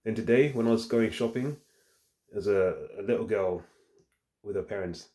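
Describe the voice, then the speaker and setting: Deep, mellow-voiced man talking casually. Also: normal speed. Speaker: fitness vlogger. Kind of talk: casual rambling vlog talk to the phone camera. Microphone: handheld phone.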